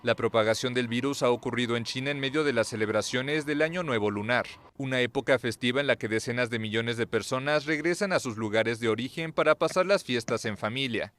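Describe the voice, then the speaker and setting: A man reading a news report in a steady, formal manner. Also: mid-range voice, a little fast, hardly any pauses. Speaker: news reader.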